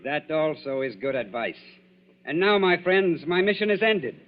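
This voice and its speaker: An actor playing a smug, fanatical young Nazi saboteur in a 1940s radio drama, formal, precise and theatrically gloating. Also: male voice, fairly high pitch, normal speed, lightly acted. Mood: smug, controlled. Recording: muffled, noisy old radio broadcast.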